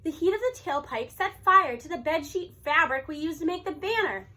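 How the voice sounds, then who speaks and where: A woman reading a children's novel aloud in an expressive, animated storytelling manner. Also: very high-pitched, moderate pace. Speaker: audiobook reader.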